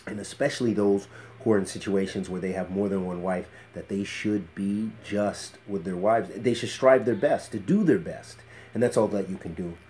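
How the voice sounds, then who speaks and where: A middle-aged man speaks as an Islamic teacher in a fairly low, very mellow voice. His delivery is measured and reflective, with halting phrasing, as in an informal lecture recorded at a desk in a small study. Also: slight background noise. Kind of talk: informal religious lecture with Arabic phrases and quotations. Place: small book-lined study indoors.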